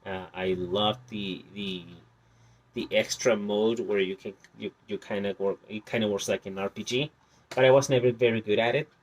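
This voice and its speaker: A man's voice: fairly low pitch, fairly even intonation, normal speed. A young man whose first language is Spanish, hosting a casual vlog about his game collection and talking in a hesitant way with many fillers. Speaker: channel host.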